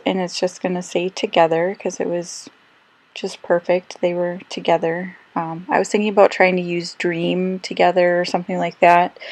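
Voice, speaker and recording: A woman who is slightly hoarse and losing her voice, chatting casually through a crafting voice-over with lots of ums and little self-corrections. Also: fairly low pitch, a little mellow. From Australia. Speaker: scrapbooking crafter. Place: quiet room, recorded voice-over.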